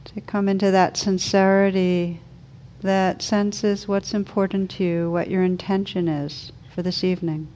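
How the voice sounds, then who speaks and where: A woman leading a guided meditation as a teacher, speaking slowly and soothingly with gentle pauses. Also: mid-range voice, little pitch movement.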